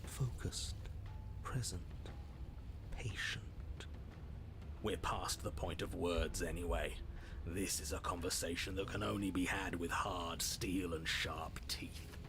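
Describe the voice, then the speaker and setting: A man voicing a cold, calculating inner voice that speaks in a hushed, clipped whisper. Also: sits low, steady tone, takes it slowly, background noise.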